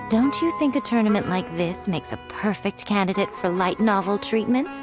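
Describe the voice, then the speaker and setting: Sly game-character editor, woman actor: poised, playful, theatrical, animated delivery, medium pitch. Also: natural voice. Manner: playful, self-assured, theatrical. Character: sly, elegant, teasing female publishing editor. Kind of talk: video game character acting.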